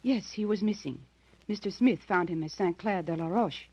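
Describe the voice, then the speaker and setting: A solicitous nun-nurse in an old radio drama, husky and composed. Her speech is formal and careful, and the recording is dull and lo-fi. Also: mid-range voice, warm tone. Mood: helpful. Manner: polite, formal, deliberate. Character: composed, solicitous French nursing sister. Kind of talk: 1930s radio acting.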